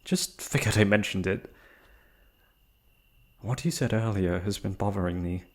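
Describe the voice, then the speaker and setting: A man's voice: on the low side, unhurried. A man acting a shy, proud, wistful noble prince in a romantic fantasy roleplay, with hesitant, formal, sighing speech. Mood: wry, probing.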